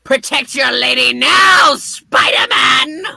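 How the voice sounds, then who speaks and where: A performer voicing a gloating male goblin supervillain with a raspy, creaky, theatrically menacing delivery in a comic book puppet reading. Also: sits high, takes it slowly, sharp tone, no echo, raised voice, heavily acted. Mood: boastful.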